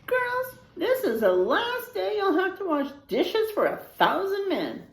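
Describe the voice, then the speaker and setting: A woman's voice: extremely high pitch, huge pitch swings, slow, roomy sound, pitched above the performer's own voice, heavily acted. A woman voicing a feisty, exasperated widowed rancher in a children's storybook tall tale, complaining emphatically. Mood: determined, gleeful. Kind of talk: children's storybook read-aloud.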